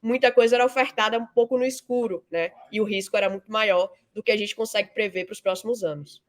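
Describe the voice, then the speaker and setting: A woman's voice: mid-range voice, steady tone, quick pace. A woman, giving conversational, explanatory interview answers peppered with tag questions. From Salvador, Bahia, Brazil. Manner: frequent 'né' tags, explanatory. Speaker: interview guest, fintech founder and CEO.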